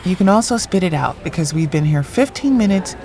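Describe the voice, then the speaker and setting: A woman's voice: fairly low pitch, lively intonation, brisk, a little mellow. An actress playing a blunt, impatient female friend in an audio drama, dry and sarcastic.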